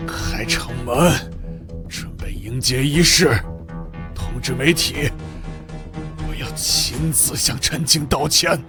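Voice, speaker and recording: An actor voicing a scheming, self-preserving city military commander in a motion-comic drama, emphatic and officious. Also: male voice, a little high, slow, long pauses, over loud music. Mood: grudging, scheming.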